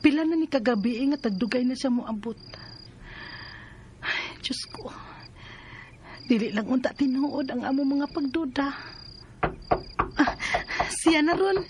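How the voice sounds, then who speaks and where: A woman's voice: sits high, a little slow, pauses often, a little hiss or hum. A woman acting an anguished, betrayed live-in partner and mother in a Filipino radio drama, plaintive and emotional. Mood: troubled.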